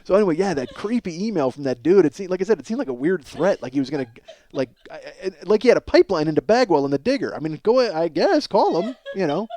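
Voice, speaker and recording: Man, fairly high voice, comedic ranting, profane, rambling, excitable, casual podcast talk, noticeable background noise. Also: fast. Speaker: podcast host.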